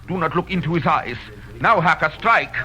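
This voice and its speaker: An actor playing an authoritative doctor hunting a vampire, intense and declamatory in 1930s radio style. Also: male voice, a little high, moderate pace, natural voice. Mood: frantic command. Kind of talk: 1930s radio drama acting. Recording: dull, noisy vintage radio recording.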